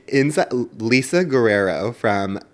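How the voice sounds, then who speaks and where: A man co-hosting a casual comedic podcast, telling stories in a halting, rambling way full of filler words. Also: mid-range voice, expressive. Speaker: podcast co-host.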